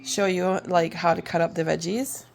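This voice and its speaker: A woman in her thirties with a Minnesota accent, chatting casually and a bit haltingly while she chops vegetables in her home kitchen, recorded on a propped-up phone. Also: lowish voice, fairly even intonation, vocal fry. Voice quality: rambling, hesitant with many fillers. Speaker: homeschooling mom and cooking vlogger. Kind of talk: casual cooking video. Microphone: phone propped up on the counter.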